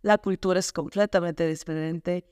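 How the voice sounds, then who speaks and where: A woman's voice: on the low side, steady tone, normal speed, a little mellow, clean tone, no room sound, clean studio sound. A woman with an Ecuadorian accent telling her story casually as a podcast guest, often searching for words. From Quito, Ecuador.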